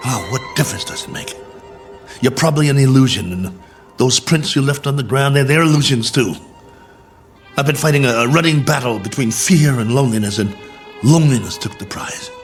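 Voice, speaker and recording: An actor playing a worn-out, injured and lonely crash-landed space colonel in a radio drama, talking in halting, emphatic bursts. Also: male voice, medium pitch, soft background music, lightly acted. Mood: bitter, resigned. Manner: halting, repetitive, emphatic pleading and musing.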